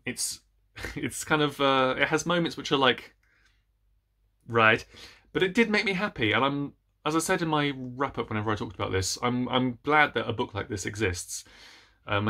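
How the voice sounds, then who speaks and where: Rambling man, fairly low voice. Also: a bit bright. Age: 30s. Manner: rambling, hesitant, breaking off mid-sentence. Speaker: book reviewer vlogger. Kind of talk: casual chat to camera about books. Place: small indoor room with bookshelves.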